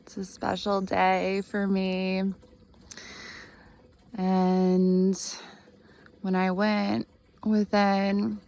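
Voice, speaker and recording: A woman in her thirties guides a meditation with a heavily creaky voice. She speaks extremely slowly, leaving long pauses, and keeps a gentle, earnest tone with little rise and fall in pitch. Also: completely flat delivery, highs cut off. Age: 30s. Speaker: guided meditation host, healer. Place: outdoors in the woods by a spring.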